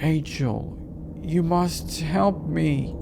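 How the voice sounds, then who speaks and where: A performer voicing a ghostly young lost sister in a horror game, with a weak, frail voice and slow, drawn-out pleading. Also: mid-range voice, gravelly, noisy background.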